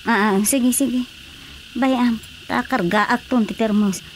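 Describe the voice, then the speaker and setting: A woman's voice: fairly high pitch, measured pace, slight background noise, natural voice. A woman acting an anxious, nagging fisherman's wife in an Ilocano-accented radio drama comedy, pleading and scolding. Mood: concerned. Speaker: voice actor.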